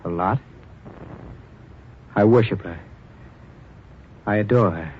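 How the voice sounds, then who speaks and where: An actor playing a genial, trusting, well-bred husband in vintage radio drama, polite and earnest. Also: male voice, fairly low pitch, slow. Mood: earnest, uneasy. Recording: muffled, faintly noisy old radio recording.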